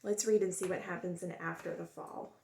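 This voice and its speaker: A woman casually presenting a picture book to children, pausing to explain and wonder aloud. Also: fairly low pitch, fairly even intonation, very noisy. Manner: pauses to explain and wonder aloud to young listeners.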